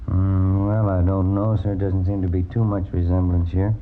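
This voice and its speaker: A man's voice: low-pitched, flat delivery, moderate pace, natural voice. A man playing a polite, cautious police detective in a radio crime drama, courteous and questioning. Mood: polite, doubtful. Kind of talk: old-time radio crime drama acting. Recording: dull, faintly noisy vintage radio recording.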